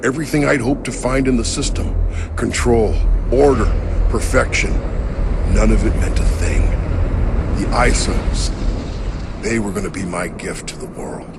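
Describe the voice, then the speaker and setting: A man playing a weary, regretful middle-aged creator of a digital world in a game cutscene, speaking gravely and haltingly. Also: medium pitch, heavy background noise, lightly acted. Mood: wistful, reflective. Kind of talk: dramatic game cutscene acting.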